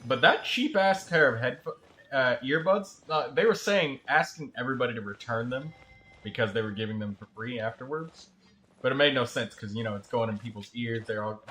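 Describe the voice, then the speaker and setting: Bright-voiced man rambling on a stream. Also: mid-range voice, slight background noise, low-quality recording. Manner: rambling, halting storytelling. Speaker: game streamer. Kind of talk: casual livestream chat.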